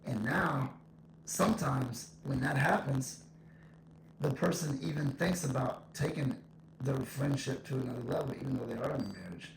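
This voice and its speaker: Echoing, gravelly man giving blunt opinions. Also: mid-range voice, moderate pace. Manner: blunt, emphatic, repeats points. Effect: echo voice effect. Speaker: solo podcast host. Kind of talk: informal opinion monologue. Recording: echo effect, faint background noise.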